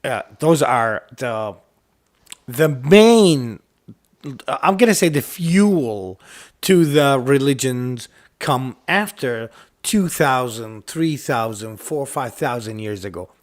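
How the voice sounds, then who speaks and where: A man's voice: medium pitch, lively intonation, slow pace. A man from Iraq whose first language is Arabic, speaking English with an Arabic accent, co-hosting a casual chat with many fillers and restarts. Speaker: podcast co-host. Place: lives in the United States.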